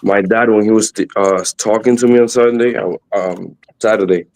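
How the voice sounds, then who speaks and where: A young man whose first language is Spanish talks in English with an earnest, halting delivery. His voice sits on the low side, and he stops and restarts phrases often. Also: fairly even intonation, darkish tone. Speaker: young guest. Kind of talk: earnest faith testimony.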